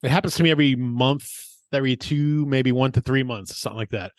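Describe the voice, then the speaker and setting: A man's voice: mid-range voice, fast, no room sound, clean studio sound. A middle-aged man in casual podcast conversation, telling personal stories in informal, sweary language. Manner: profane, informal. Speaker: podcast co-host. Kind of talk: casual chat and personal storytelling.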